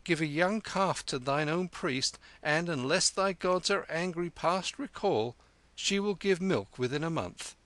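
A man's voice: on the high side, expressive, unhurried, a bit bright, no echo, pitched above the performer's own voice. A man reading aloud, voicing a clever thirteen-year-old street boy in a raised sing-song manner. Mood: shrewd.

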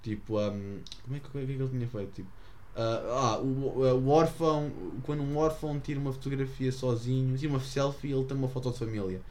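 A man's voice: mid-range voice, fairly even intonation, fast, few pauses, a little mellow. A teenage boy from the Azores, rambling through stories to camera with lots of fillers and self-mockery. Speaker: vlogger. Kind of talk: casual storytelling to camera.

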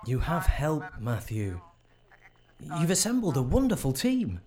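A man's voice: a little high, wildly swooping pitch, a little slow, mellow. A man playing a deferential, caring young assistant in a radio drama, polite and soothing. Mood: consoling.

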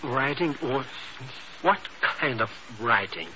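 An actor playing an excitable, greedy, dim sidekick who chatters and stammers eagerly in a 1950s radio drama. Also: male voice, medium pitch, slow pace, heavily acted. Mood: eager, curious. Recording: muffled, noisy early-1950s radio recording.

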